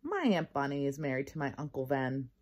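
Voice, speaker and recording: Woman doing an earnest little boy, swooping pitch. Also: big pitch swings, fairly quick, husky, heavily acted. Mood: eager. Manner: puzzled, eager oversharing. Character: earnest, chatty little first-grade boy.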